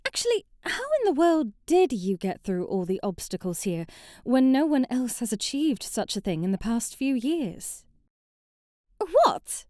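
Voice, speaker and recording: A woman's voice: a little high, sing-song, measured pace, warm tone, dry recording, clean studio sound. A woman playing a haughty, sheltered young princess in a scripted ASMR roleplay, prim and bratty, with formal, old-fashioned wording and an easily flustered temper. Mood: curious, surprised.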